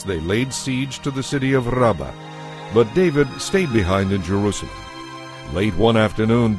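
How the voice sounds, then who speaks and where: Male narrator, lowish pitch, measured scripted storytelling, audio Bible, faint music bed.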